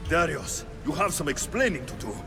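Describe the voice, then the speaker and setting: An actor playing a hot-tempered, blunt young Greek warrior, forceful and challenging, in a video game drama. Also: male voice, fairly high pitch, very animated, normal speed, music in the background. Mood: accusing.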